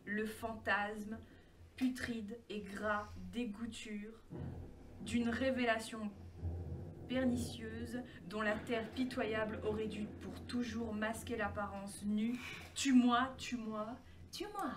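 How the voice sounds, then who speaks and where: A woman recites a tormented, mad writer's text for a horror roleplaying game. The delivery is drawn-out and dramatic, fairly high, over clear noise. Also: fairly even intonation. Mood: pleading, tormented. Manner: slow, dramatic, ornate recitation. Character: tormented, deranged writer's grim text, read aloud. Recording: noisy, slightly distant microphone.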